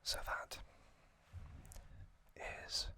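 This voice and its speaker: Slow whispering man, ASMR host. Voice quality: soft breathy whisper. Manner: slow, gentle, reflective delivery with pauses.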